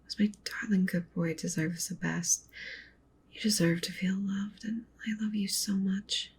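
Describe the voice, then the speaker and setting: Casting: actress as obsessively loving wolf-girl witch for ASMR roleplay; breathy coaxing whispers, gravelly fry, slight background noise. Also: steady tone, unhurried, natural voice. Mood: adoring, intense. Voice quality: breathy whisper with low creaky murmur. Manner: intimate, tender, clingy, coaxing. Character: possessive, doting yandere wolf witch girlfriend.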